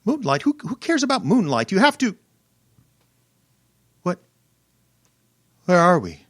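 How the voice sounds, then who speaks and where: A man's voice: high-pitched, wildly swooping pitch, a little slow, no echo, studio quality, exaggerated voice. An actor playing an irascible, domineering rich older man, imperious and sputtering, who turns cowardly under threat, in old-time radio horror style. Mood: alarmed, demanding. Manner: blustering, imperious, sputtering and stammering.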